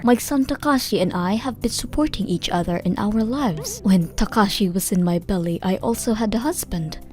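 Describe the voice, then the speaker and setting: Woman, first-person narrator, scripted manga dub, medium pitch, background music. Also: a little mellow. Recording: music bed under the voice.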